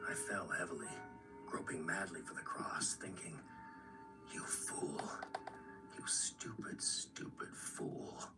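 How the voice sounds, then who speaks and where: A man's voice: rumbling low, very animated, takes it slowly, gravelly, in a whisper, heavily acted. A man acting a grim, dazed narrator in a radio drama, heard distantly under music. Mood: dazed, bitter.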